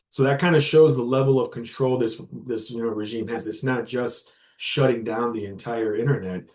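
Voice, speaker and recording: A man's voice: medium pitch, a little mellow. A man giving an informal guest lecture to university students. He talks conversationally, with frequent fillers and repeated words, and stops to explain terms plainly.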